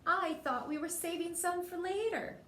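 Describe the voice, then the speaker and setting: A woman voicing excitable, playful young girl hikers from a picture book, in exclamations. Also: very high voice, moderate pace, pitched above the performer's own voice. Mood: complaining. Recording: roomy echo, faint background noise.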